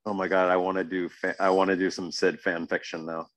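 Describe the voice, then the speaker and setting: A man's voice: low-pitched, little pitch movement, brisk, a bit bright, no echo. A man podcast host giving casual, exclamatory reactions to a TV show, often repeating himself for emphasis.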